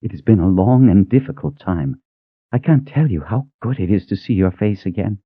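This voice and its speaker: A man voicing a tired but determined young noblewoman who speaks gently and firmly. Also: lowish voice, expressive, normal speed, breathy edge, dry recording. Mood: urgent. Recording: narrow tinny phone-like sound.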